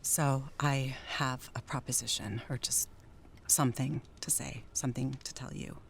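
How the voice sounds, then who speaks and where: An actress playing Jerry, a troubled, wistful, newly sober wife in a 1930s radio drama. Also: female voice, very deep voice, steady tone, moderate pace, vocal fry, slight background noise. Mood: wistful, pleading.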